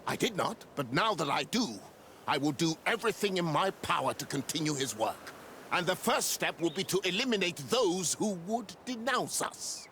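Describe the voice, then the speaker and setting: Fanatical inquisitor, forceful bright tone, raised male pitch, game villain, faint ambience. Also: very animated, normal speed, a little hiss or hum, heavily acted. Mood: fanatical, menacing. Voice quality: sharp, forceful. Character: zealous, defiant religious inquisitor villain. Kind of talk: video game cutscene acting.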